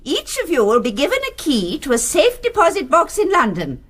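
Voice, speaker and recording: An actress playing an elderly, genteel gang mastermind in a radio drama, prim, precise and commanding. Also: female voice, sits high, huge pitch swings, fairly quick, a bit bright, exaggerated voice. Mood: firm, insistent. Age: older.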